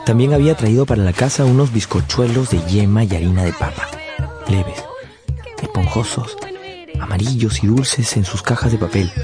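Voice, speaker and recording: An actor playing a nostalgic man who narrates his family's past in a measured, lyrical way for a radio drama. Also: on the low side, over music, natural voice. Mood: fond.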